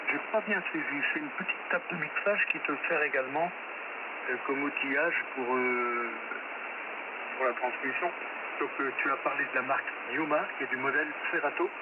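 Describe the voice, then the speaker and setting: A man, chatting casually as an amateur radio operator over shortwave, rambling hesitantly with frequent pauses and self-corrections. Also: a little high. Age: 70s. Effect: shortwave radio transmission. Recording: narrow-band shortwave radio with loud static.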